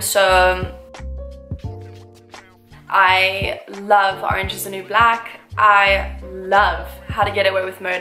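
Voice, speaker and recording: A woman's voice: medium pitch, bright, forceful tone. A woman, chatting casually to camera in a get-to-know-me vlog, gushing and full of fillers. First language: English. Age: 20s. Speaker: vlogger. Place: small room indoors, seated in armchair. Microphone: camera mic, medium framing. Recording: roomy echo, faint music.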